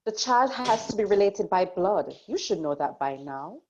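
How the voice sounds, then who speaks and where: Woman acting eerie cult woman, swooping pitch. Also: animated, normal speed, a little mellow, highs cut off, natural voice. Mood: cold, certain. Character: eerie, knowing, taunting woman devoted to a cult. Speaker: actor in a web series table read.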